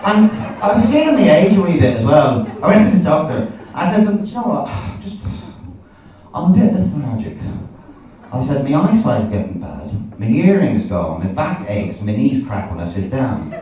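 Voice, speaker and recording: A man's voice: medium pitch, big pitch swings, brisk, few pauses, mellow. A man in his forties with an Irish accent performing a sweary, wry stand-up routine to a live audience, telling stories with acted-out dialogue. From Ireland. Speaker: stand-up comedian. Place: indoor comedy venue before a live audience. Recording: echoey live venue, distant, noisy.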